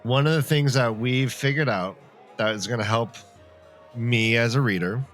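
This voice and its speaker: Excitable male podcast host, faint music behind. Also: medium pitch, moderate pace. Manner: excitable, stumbling over words. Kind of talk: jokey casual podcast chat.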